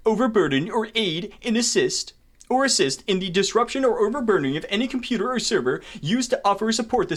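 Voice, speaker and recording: A man with a high-pitched, very animated voice reads a document aloud. He treats it irreverently, with sweary, mock-indignant asides, and his pitch moves widely as he goes. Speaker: content creator reading terms of service aloud.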